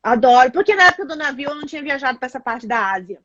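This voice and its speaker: Brazilian woman guest, higher voice, animated casual talker, muffled dry call recording. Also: very fast, no echo. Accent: Brazilian Portuguese. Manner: chatty, animated storytelling with many fillers. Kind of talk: casual chat.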